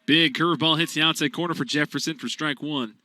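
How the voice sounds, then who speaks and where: A man calling a college baseball game as radio play-by-play announcer, steady between pitches and rising loud on big plays. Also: quick pace.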